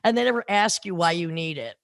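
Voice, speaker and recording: A woman in her sixties co-hosting a podcast, sharing touring tricks in a wry, teasing way with deadpan jokes. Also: fairly low pitch, fast, gravelly, a crowd in the background. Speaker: podcast co-host, musician. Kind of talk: casual chat. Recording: dry close mic.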